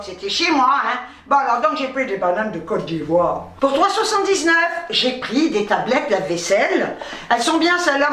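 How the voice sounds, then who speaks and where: A woman's voice: sits high, bright, forceful tone. A middle-aged woman from North Africa chatting casually as a vlogger, rambling playfully with some salty language. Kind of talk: casual chatty grocery haul. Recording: roomy, distant microphone.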